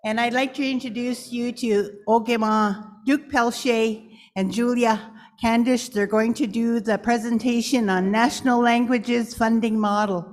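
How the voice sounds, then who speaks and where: A woman's voice: a little high, fairly even intonation, a little slow. A woman chairing an assembly, introducing agenda items and presenters with hesitant fillers. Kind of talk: chairing a meeting. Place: large assembly hall. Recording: long hall reverb.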